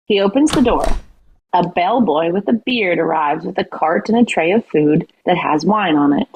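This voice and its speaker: A woman's voice: fairly low pitch, animated, moderate pace, lo-fi sound, a little hiss or hum. A woman reading scripted stage directions as the narrator of an audio play.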